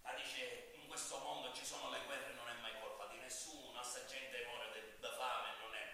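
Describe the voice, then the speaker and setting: An actor playing a bitter, sarcastic vigilante convict who pleads with a judge in a stage monologue, recorded in an echoey hall. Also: male voice, medium pitch, fairly even intonation, moderate pace, pushed and bright. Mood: bitter, brooding. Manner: sarcastic, ranting, addresses the judge repeatedly. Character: bitter vigilante convict, killer of mafiosi, pleading his case. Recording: echoey hall, distant mic, noisy.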